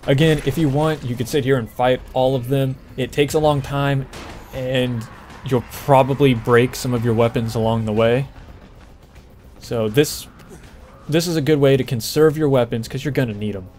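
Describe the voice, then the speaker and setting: A man's voice: mid-range voice, steady tone, normal speed, a little mellow, soft background music. A man doing casual, conversational gameplay commentary, explaining things as he plays, over game ambience. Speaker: gameplay walkthrough host. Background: video game ambience.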